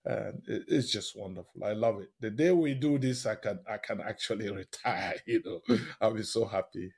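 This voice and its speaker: A man's voice: lowish voice, normal speed, creaky, darkish tone, slightly rough. A man speaking as an expert guest in a radio interview, explaining emphatically and enthusiastically, often checking in with short tag questions.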